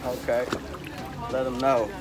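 A man's voice: medium pitch, completely flat delivery, a little slow. A young American man giving casual vlog commentary, hyping things up and repeating his phrases. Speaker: vlogger. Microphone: handheld phone. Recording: loud music over outdoor phone recording. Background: loud music.

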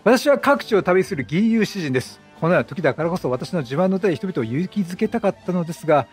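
A dejected wandering minstrel from a video game, read by a man. The speech is formal and courteous in a high voice. Faint music plays behind. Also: animated, quick pace. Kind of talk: game dialogue read aloud by a player.

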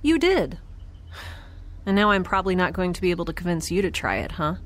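A woman's voice: on the low side, big pitch swings, a little fast, fairly bright tone. A woman playing a chatty, self-doubting young spacefarer in an audio drama, with a casual, hesitant delivery. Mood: wry, chatty. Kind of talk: naturalistic audio drama acting. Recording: faint background noise.

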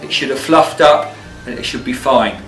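A man's voice: mid-range voice, animated, normal speed, a bit bright, far from the mic. A middle-aged man giving a casual cooking demonstration as a chef, plain-spoken and informal, recorded indoors in a kitchen. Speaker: chef and cookery teacher. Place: indoor commercial kitchen with steel surfaces. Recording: roomy, off-mic sound with music.

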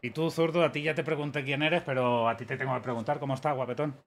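A man's voice: mid-range voice, fairly even intonation, fast talker. Slangy man hosting a stream. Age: late 30s. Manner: loose, slangy and chummy, with repeated words. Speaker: podcast host. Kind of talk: casual chat. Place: home streaming room.

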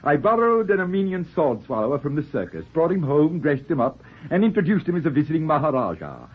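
An actor playing a breezy, flippant middle-aged runaway husband in a 1940s radio play, with glib, dryly witty, theatrical delivery. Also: male voice, fairly high pitch, very animated, fast talker, slightly rough. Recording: muffled, scratchy 1940s radio recording.

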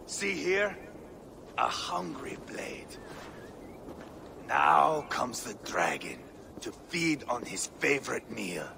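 An actor playing a cruel, gloating male Viking warlord in a video game, with a gravelly, sneering voice. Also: medium pitch, very animated, takes it slowly, long pauses, noisy background, full character voice. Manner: savage, gloating, theatrical. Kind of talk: video game cutscene acting.

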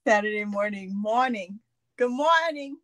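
A woman's voice: medium pitch, lively intonation, unhurried, a bit bright, dry recording. A woman hosting a live craft session over a video call, chatting playfully and laughing often. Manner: giggly, playful, laughs a lot. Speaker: craft show host. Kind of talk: casual chat while crafting. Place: home craft table, indoors.

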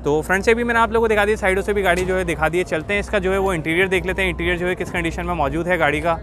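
Fast-talking young man, car vlogger. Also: sits high, fairly bright tone, slight background noise. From Pakistan. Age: 20s. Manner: repetitive, explanatory patter. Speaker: host, car market reviewer. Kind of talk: vlog walkthrough and short interviews. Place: outdoor open car market. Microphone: phone at arm's length. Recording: busy outdoor street market chatter.

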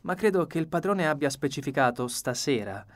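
Male reader as impassive butler in a storybook mystery, formal, servile, measured dignity. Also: on the high side, animated, close to an everyday voice. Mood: impassive, deferential. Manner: formal, deferential. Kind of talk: audiobook character reading.

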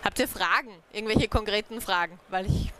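A woman's voice: medium pitch, brisk, fairly bright tone, a little hiss or hum. A young woman, a native speaker, giving an informal talk to a small group about community networks, hesitant with many fillers. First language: German. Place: dark event room, on stage.

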